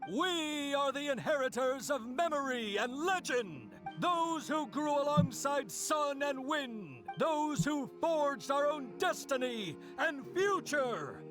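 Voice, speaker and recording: A woman's voice: sits high, big pitch swings, slow pace, few pauses, a bit bright, faint music behind, full character voice, rough recording. A woman playing a solemn orator who grandly declaims a ceremonial address to warriors in a fantasy game. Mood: solemn, rousing. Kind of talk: video game voice acting, ceremonial recitation.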